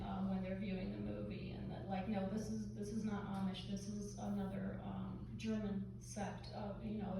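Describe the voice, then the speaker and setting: A woman's voice: medium pitch, gravelly. Echoey, distant woman filmmaker at Q&A. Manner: thoughtful, many pauses and fillers. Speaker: filmmaker answering audience questions. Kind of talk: casual post-screening Q&A. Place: large theater hall, heard from far off. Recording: echoey hall, distant mic, noise.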